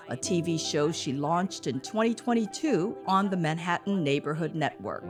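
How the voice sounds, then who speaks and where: A middle-aged woman correspondent narrating a scripted news feature with measured delivery. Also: on the low side. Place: living room set.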